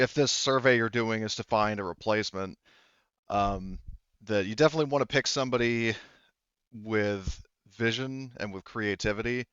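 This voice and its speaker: A man's voice: lowish voice, a bit bright, smooth, dry recording, muffled recording. A man acting as game master of a tabletop roleplaying game, improvising and relaying characters' words in a rambling way.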